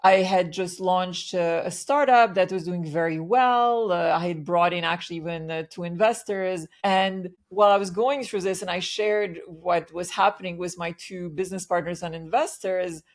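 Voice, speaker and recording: A woman hosting a podcast, asking long, curious questions in a warm, conversational way. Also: lowish voice, normal speed, few pauses. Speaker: podcast host. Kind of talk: conversational interview.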